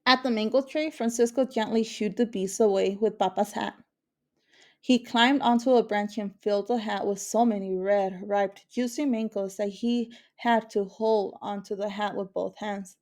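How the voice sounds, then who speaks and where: A woman's voice: mid-range voice, fairly even intonation, normal speed, a little mellow. A woman reading a children's picture book aloud as a storyteller. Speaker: story reader.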